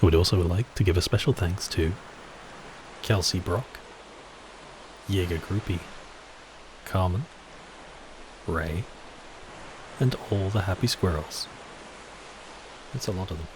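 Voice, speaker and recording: A man speaks in a low, deep voice as the host of an audio roleplay channel. He delivers an outro thanking listeners, with noticeable watery ambience running behind him. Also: measured pace, long pauses, noisy background.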